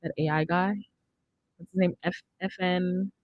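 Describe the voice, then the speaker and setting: A woman's voice: lowish voice, fairly even intonation, unhurried, dry recording, dull audio. A young woman hosting a casual music podcast, chatting into a close studio microphone. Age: young adult. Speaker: radio show host. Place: indoor studio. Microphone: close studio mic on boom arm, headphones.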